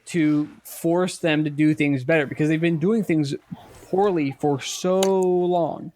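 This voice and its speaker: Dude on a podcast, slightly high voice, casual, kinda stop-start and repeats himself. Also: male voice, fairly even intonation, slow pace, darkish tone, slight background noise. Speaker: podcast co-host.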